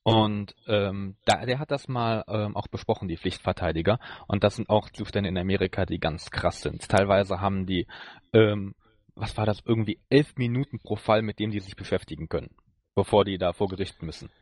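A man's voice: lowish voice, normal speed, darkish tone, no echo. A man with a German accent chatting casually as a podcast co-host. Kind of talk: casual discussion of audio drama.

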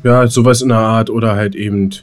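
A man's voice: fairly low pitch, fairly even intonation, brisk. A man co-hosting a podcast, chatting colloquially and telling things in a playful way. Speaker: podcast co-host. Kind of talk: casual podcast chat.

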